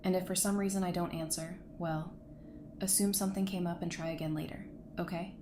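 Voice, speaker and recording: A woman's voice: lowish voice, steady tone, a little fast, natural voice. An actress playing a guarded, no-nonsense woman doctor in a post-apocalyptic audio drama, speaking in a firm, dry, matter-of-fact way. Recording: faint background noise.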